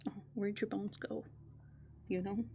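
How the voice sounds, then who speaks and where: A woman speaks softly and casually as a playful raccoon in an ASMR roleplay. Her mid-pitched voice has plenty of vocal fry. She muses hesitantly and whimsically, with faint noise and clicking behind her. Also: flat delivery, moderate pace.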